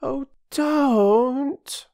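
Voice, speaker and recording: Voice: mid-range voice, very animated, slow pace, darkish tone, pitched above the performer's own voice. A narrator voicing an earnest young schoolgirl from a children's storybook, imploring and well-spoken. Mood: despairing. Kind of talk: children's storybook character reading.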